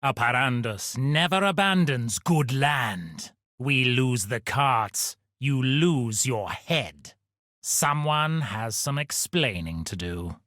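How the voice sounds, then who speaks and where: Pompous aristocratic game villain, swooping pitch. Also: male voice, lively intonation, slow, a bit bright, no room sound, studio quality. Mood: haughty, scornful. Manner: haughty, condescending, melodramatic. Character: pompous, scheming aristocratic villain, haughty and cowardly. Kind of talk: theatrical video game villain dialogue.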